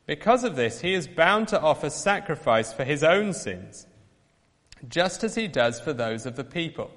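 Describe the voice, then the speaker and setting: A man reading scripture aloud in church, slow, solemn and lilting. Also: fairly high pitch, sing-song, a bit bright, long reverb tail. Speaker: Bible reader.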